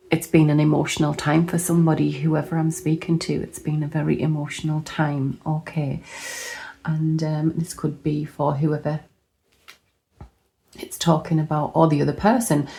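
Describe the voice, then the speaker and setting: Low mellow lady doing a tarot reading, voice kinda hoarse, chatty and rambly as she flips cards. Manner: chatty, thinking aloud while pulling cards, with restarts. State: hoarse, recovering lost voice. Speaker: tarot reader. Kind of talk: casual tarot card reading.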